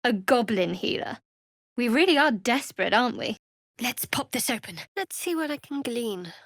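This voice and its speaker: An actress playing a guarded, devout young woman cleric in a video game, with a wry, measured delivery. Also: on the high side, lively intonation, a bit bright, no echo, clean studio sound. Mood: dry, muttering. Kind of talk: video game character voice acting.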